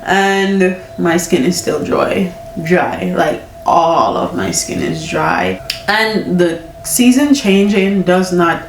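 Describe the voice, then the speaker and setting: A woman's voice: fairly low pitch, slight background noise. A young woman vlogging casually about her pregnancy, candid and chatty, with a congested, stuffy nose. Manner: candid, chatty, laughing often. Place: home. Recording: echoey room, mic at a distance.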